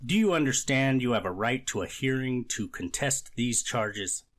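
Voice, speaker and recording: Court official, played by a man: middle-register voice, measured, procedural, scripted legal reading. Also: medium pitch, expressive, natural voice. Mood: procedural, authoritative. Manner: measured, formal, methodical. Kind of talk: amateur readers theater, scripted legal reading.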